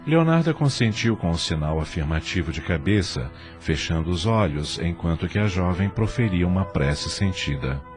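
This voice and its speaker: A man's voice: low-pitched, sing-song, normal speed, hardly any pauses. A man narrating an audio novel in a dramatic, scripted storytelling style. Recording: music bed behind narration.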